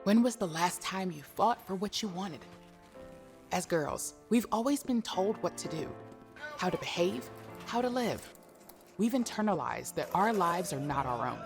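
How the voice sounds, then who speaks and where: A woman narrates a dramatic, rousing promo in a lowish voice. Clear music plays under her. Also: expressive, a little slow.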